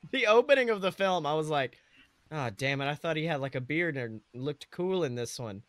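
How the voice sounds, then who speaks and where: A man's voice: fairly high pitch, lively intonation, normal speed, a bit bright. A man co-hosting a podcast in casual comedic chat, joking with lots of slang and swearing. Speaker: podcast co-host.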